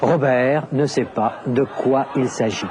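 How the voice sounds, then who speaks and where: A man narrating a language lesson, explaining deliberately with very clear enunciation. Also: mid-range voice, steady tone, heavy background noise. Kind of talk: scripted teaching narration.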